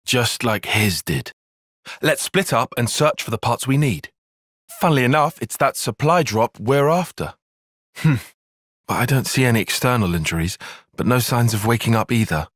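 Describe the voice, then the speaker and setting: An actor playing an earnest, bookish young soldier-tactician in a video game, speaking in measured, formal, analytical phrases. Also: male voice, medium pitch, lively intonation, fairly bright tone, dry recording, studio quality. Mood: calm, thoughtful. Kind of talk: video game cutscene voice acting.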